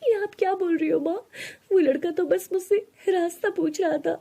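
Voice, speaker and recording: Wronged young daughter, played by a female narrator: high voice, soft-toned, pleading, dutiful. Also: moderate pace, vocal fry. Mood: tearful protest. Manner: pleading, plaintive.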